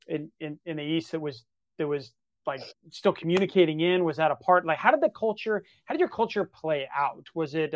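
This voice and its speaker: A man hosting an interview, asking his questions haltingly with frequent restarts. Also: on the high side, no echo. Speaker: podcast host. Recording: dry, dull lo-fi sound.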